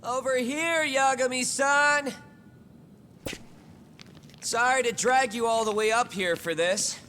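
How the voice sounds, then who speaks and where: A man's voice: very high voice, takes it slowly, sharp tone, a little hiss or hum, heavily acted. A man playing a taunting, insolent teenage student bully in a video game dub, slangy and mock-polite, with long reverb on the voice. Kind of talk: video game cutscene English dub acting.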